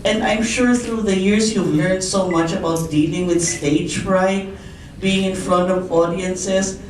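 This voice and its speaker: A woman's voice: lowish voice, fairly even intonation, measured pace. A woman reporter asking a singer questions politely at a press conference. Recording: very echoey distant room, faint noise.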